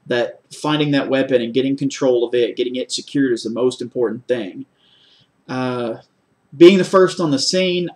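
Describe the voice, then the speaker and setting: A man with a mid-pitched voice speaks as a candidate in a formal job interview. He is measured and methodical, laying out his answers step by step. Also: darkish tone. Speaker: job candidate for a security officer post.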